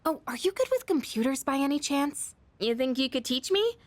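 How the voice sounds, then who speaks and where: A woman voicing a candid, friendly teenage schoolgirl with self-doubt, in a casual, expressive anime-style game performance. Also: sits high, moderate pace, no room sound. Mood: admiring, chatty.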